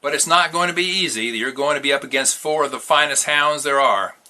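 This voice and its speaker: A man's voice: mid-range voice, a little fast, sharp tone. A man voicing a friendly, frank hunter who encourages a boy, in a storybook reading. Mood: genial.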